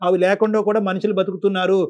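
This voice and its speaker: A man hosting a phone-in counselling talk. His voice sits high and moves quickly, and he admonishes earnestly, repeating himself for emphasis. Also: little pitch movement, a little mellow, muffled recording. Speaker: show host and counsellor.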